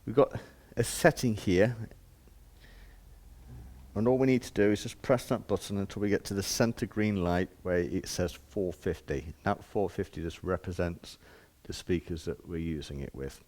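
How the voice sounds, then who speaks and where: A man's voice: low-pitched, normal speed, a little mellow. A man giving an informal hands-on demonstration as a shop presenter, explaining step by step in plain, casual words.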